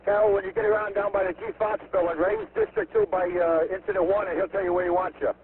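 A male fire incident commander giving brisk orders over a two-way radio. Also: sits high, animated, a crowd in the background. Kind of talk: fireground radio traffic. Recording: tinny, noisy fireground radio recording.

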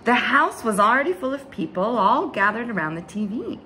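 Animated young woman reading a storybook. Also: fairly high pitch, background noise. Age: young adult. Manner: dramatic, with drawn-out words and hushed asides. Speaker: story time host reading a picture book aloud. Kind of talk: expressive children's storybook read-aloud. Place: small bright room indoors at home.

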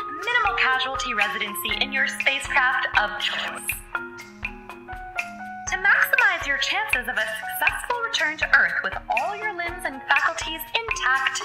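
An actress playing a polite, unflappably cheerful space station computer, formal and deadpan, reading out a manual in a radio drama. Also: female voice, high voice. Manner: measured, formal, deadpan reading of grim advice.

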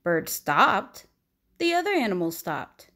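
A woman narrates a children's picture book with very lively, swooping pitch. She takes her time, shaping each phrase dramatically and deliberately for young listeners. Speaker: storyteller reading a children's picture book aloud. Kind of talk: expressive read-aloud narration.

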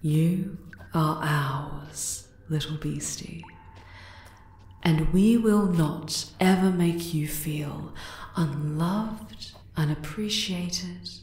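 A woman voicing a regal, adoring spider-woman queen in an intimate ASMR romance roleplay, tender and possessive, breathy and often slipping into a whisper. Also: on the low side, a little mellow, roomy sound. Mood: tender, devoted. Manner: slow, doting, sensual endearments.

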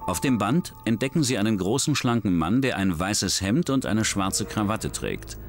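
A man giving scripted true-crime documentary narration in a measured, even way. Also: lowish voice. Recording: studio voice-over with music bed.

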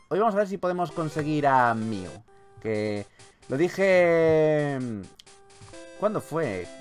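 A man from Spain with a Spanish accent giving casual, expressive, sweary let's-play commentary on a video game. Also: fairly high pitch. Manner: expressive, colloquial, swears freely. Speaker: gaming YouTuber, host.

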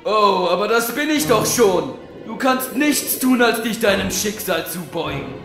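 An actor playing a large, menacing villain creature in an audio drama, gloating with drawn-out theatrical menace. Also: mid-range voice, lively intonation. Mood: smug, menacing. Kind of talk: fan audio drama acting. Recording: echoey, music underneath.

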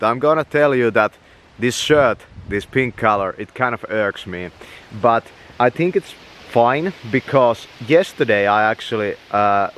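A man's voice: mid-range voice, fairly bright tone. A young man from Finland with a Finnish accent, chatting casually and swearing freely as he walks and films himself at arm's length outdoors. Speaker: vlogger. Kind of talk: rambling walk-and-talk vlog. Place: outdoors, walking through woods and town. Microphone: handheld camera at arm's length.

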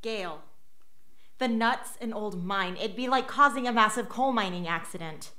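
A woman's voice: mid-range voice, moderate pace, bright, forceful tone, no room sound, clean studio sound, lightly acted. An actress playing a wounded, blunt young rebel woman in a radio drama. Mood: serious.

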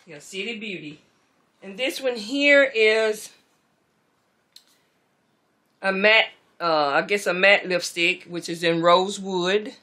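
A woman's voice: medium pitch, a little slow. A middle-aged woman, chatting casually to viewers as she tidies her beauty room, filmed on a camera mic in a bedroom. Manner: chatty, relaxed, talks to viewers as y'all. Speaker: beauty vlogger. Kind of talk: casual chat while tidying. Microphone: camera mic, moving around.

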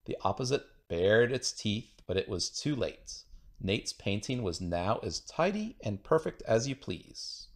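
A man reading a children's picture book aloud in an expressive storytelling manner. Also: lowish voice, measured pace. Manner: expressive storytelling with acted dialogue. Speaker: storybook reader.

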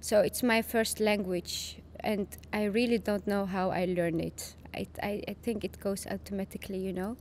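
A woman's voice: mid-range voice, steady tone, normal speed, few pauses, darkish tone, a little hiss or hum. Young Chechen-born woman, hesitant speech. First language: Dutch. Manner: hesitant, with many fillers and restarts. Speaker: podcast guest. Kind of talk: casual interview conversation. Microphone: boom-arm studio mic.

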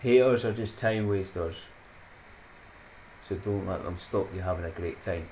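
A deep-voiced Scottish man in his thirties talks into a desk mic in a small room at home. He rambles in a loose, upbeat way, laughs at his own jokes and slips in motivational sayings. A faint hiss sits under the voice. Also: a little slow, a little mellow, poor audio. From Scotland. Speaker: YouTuber. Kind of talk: casual chatty vlog outro.

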